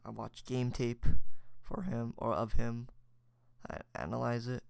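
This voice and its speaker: A young man talks alone on his podcast in a loose, reflective ramble, circling back with fillers and restarts. His voice has a gravelly edge. The sound is dry and close, with no room around it. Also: medium pitch, flat delivery, a little slow, no echo. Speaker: podcast host. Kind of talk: solo casual chat.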